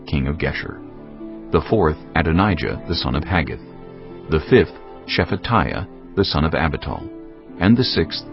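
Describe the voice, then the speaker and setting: A man narrating a dramatized Bible as a scripted storytelling reading. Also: a bass voice. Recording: dull lo-fi audio with music behind. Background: faint music.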